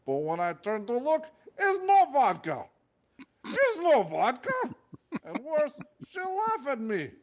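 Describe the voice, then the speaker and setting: A man's voice: very high-pitched, wildly swooping pitch, slow pace, muffled recording, pitched above the performer's own voice, full character voice. A man doing a boastful Russian guard. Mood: indignant. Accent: put-on heavy Russian accent. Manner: broken English, boastful, theatrical. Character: gruff male Russian prison guard, pompous.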